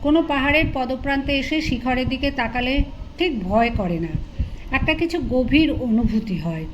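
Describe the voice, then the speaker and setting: A woman with a high voice narrates literary fiction as an audiobook. Her reading is expressive. The recording is dull and lo-fi, with clear noise behind her. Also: moderate pace.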